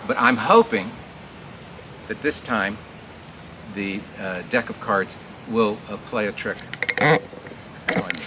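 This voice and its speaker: Man, fairly low pitch, live show host and magician, informal, halting, rambling, loud noise behind. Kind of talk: informal live welcome and stage patter. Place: outdoor community garden event. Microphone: live mic through a speaker. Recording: very noisy outdoor live recording.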